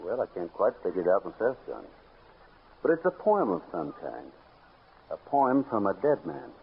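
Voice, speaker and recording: A muffled vintage radio western features a steady cowboy hero. His low voice moves freely up and down, plain-spoken in both dialogue and narration. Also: moderate pace, background noise. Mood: thoughtful. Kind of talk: old-time radio drama dialogue and first-person narration. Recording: muffled old radio recording.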